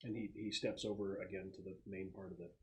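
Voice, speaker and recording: A man's voice: low voice, level tone, quick pace, darkish tone, a little hiss or hum. A man narrating a tabletop game as game master in a casual style.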